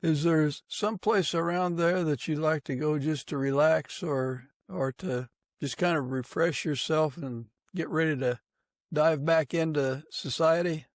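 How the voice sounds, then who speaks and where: A man's voice: fairly high pitch, a little slow, no echo, studio quality. A man hosting an interview podcast, giving introductions and putting questions to his guest.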